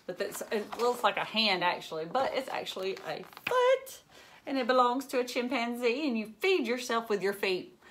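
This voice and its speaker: A woman in her thirties reading a children's book aloud like a teacher, with expressive asides and questions to young listeners. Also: mid-range voice, a little hiss or hum. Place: indoors, living room at home.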